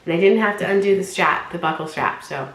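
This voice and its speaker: A woman's voice: fairly low pitch, a little fast, roomy sound, slight background noise, rough recording. A young woman talking casually in her vlog, bubbly and rambling and laughing often, her nose stuffed up from allergies. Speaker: fashion unboxing vlogger.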